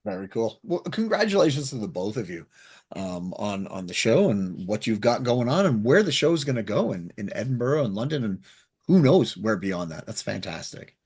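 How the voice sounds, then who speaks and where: A man with a husky voice hosting a casual radio interview. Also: on the low side, big pitch swings, normal speed, a little mellow.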